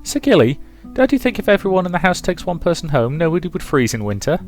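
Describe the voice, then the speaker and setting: Man putting on a high-pitched, cheeky little girl. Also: big pitch swings, quick pace, soft background music. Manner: eager, childlike. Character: bold, chatty little girl who adores her papa.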